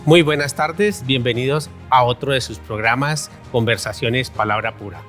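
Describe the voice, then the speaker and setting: A man's voice: mid-range voice, normal speed, music in the background. A man hosting a studio interview show, welcoming viewers and introducing his guest in an upbeat, formal way. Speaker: interview host.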